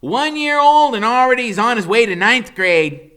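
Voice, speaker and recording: A man's voice: extremely high pitch, lively intonation, normal speed, bright, forceful tone, clean tone, pitched above the performer's own voice, heavily acted. A man voicing a brainy, smart-mouthed thirteen-year-old boy with a cocky, wisecracking manner. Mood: cocky joking.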